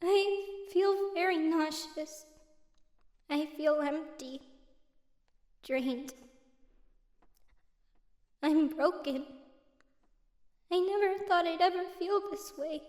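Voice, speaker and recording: A woman playing a timid, fragile, guilt-ridden youngster in an audio drama, with halting, delicate delivery. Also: very high-pitched, darkish tone, dry recording, clean studio sound, heavily acted. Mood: drained grief.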